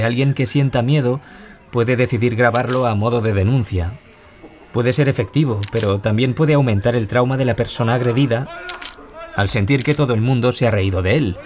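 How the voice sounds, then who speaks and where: A man's voice: medium pitch, slight background noise, natural voice. A man with a Peninsular Spanish accent playing an earnest, reflective author who survived bullying, in a scripted radio interview. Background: music.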